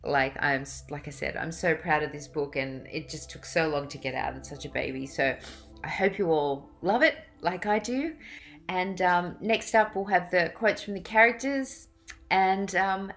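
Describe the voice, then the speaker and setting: A middle-aged woman with a fairly low voice talks casually to camera, like an author addressing loyal readers. Her delivery is informal and effusive, and she rambles loosely from one thought to the next. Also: fairly bright tone.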